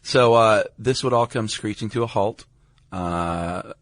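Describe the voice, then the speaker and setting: A man's voice: on the low side, a little slow, fairly bright tone, dry recording, clean studio sound. An American man chatting casually as a podcast co-host, with informal, slangy phrasing. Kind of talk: casual conversational banter.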